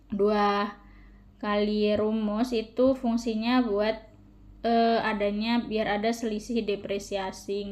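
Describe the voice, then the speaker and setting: A woman's voice: mid-range voice, darkish tone. A young adult woman, a student presenter explaining a worked calculation informally, step by step.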